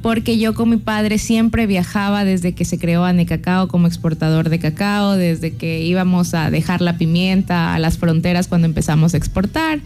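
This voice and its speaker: A woman's voice: on the low side, steady tone, normal speed, hardly any pauses, a little mellow. A woman in her thirties with an Ecuadorian accent, answering interview questions in a friendly, proud way. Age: 30s. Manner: friendly, proud and laughing easily. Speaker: business chamber president.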